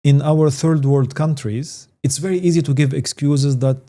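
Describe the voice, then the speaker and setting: Earnest Tunisian man with a warm, dark voice. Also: on the high side, steady tone, moderate pace. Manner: earnest, emphatic, repeats key words. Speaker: guest, branding consultant. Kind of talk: conversational interview answers.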